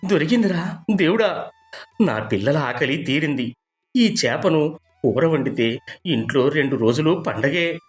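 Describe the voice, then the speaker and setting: A woman's voice: low-pitched, animated, a little slow, pauses often, soft background music, pitched below the performer's own voice. A woman voicing a poor, humble and devoted fisherman father, speaking earnestly in a storybook tale. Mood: joyful.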